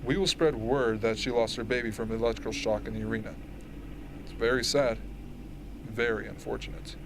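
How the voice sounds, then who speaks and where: A man's voice: mid-range voice, steady tone, fairly quick, background noise, lightly acted. A man playing a businesslike propaganda director giving orders in an amateur radio drama. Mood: smooth, matter-of-fact.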